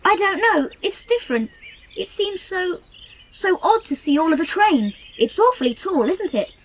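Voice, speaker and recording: Woman as a high-voiced, frank British schoolboy. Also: sing-song, normal speed, low-quality recording. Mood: adventurous. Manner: matter-of-fact, polite, boyish. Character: frank, adventurous young English schoolboy. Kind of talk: dramatised storybook audiobook.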